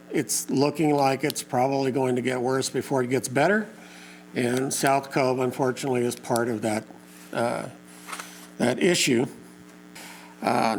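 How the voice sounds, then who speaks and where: A man with a mid-pitched voice, hoarse and fading, gives an informal talk to a local crowd. He halts often with uh and um and keeps his wording homespun. A faint hum sits under the room sound. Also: low-quality recording. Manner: halting, many uh and um fillers, plain folksy wording. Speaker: community meeting organizer and lake info page host. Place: community meeting room.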